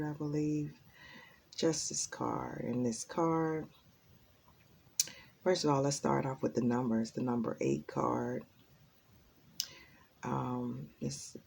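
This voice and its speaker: A woman giving a casual spiritual tarot reading in a raspy, just-woken voice, rambling and earnest with many ums. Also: extremely low pitch, dark tone, a little hiss or hum. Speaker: tarot reader.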